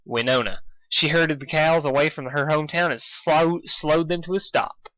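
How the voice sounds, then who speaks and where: A man reading fan fiction aloud in a casual, expressive way, stumbling over lines and poking fun at himself. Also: a little high, normal speed, dry recording, poor audio. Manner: self-deprecating, swearing, stumbles over lines. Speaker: amateur fan fiction reader. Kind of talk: casual intro and expressive read-aloud narration.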